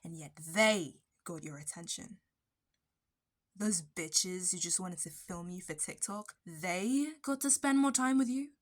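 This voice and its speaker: A woman playing an obsessive, possessive barista kidnapper in an ASMR roleplay, intimate and breathy, slipping between whispers and bitter intensity. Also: fairly low pitch, unhurried. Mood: jealous, seething. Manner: intimate, breathy dropping into whispers, nervous laughs and sudden bitter flares.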